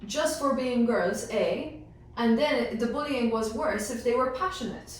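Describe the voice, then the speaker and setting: A woman with a slightly high voice speaks in a casual panel discussion, emphatic and chatty. She is recorded in a very reverberant room, well away from the mic, with some faint noise. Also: unhurried, recorded from a distance. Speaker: panelist in a sports team discussion.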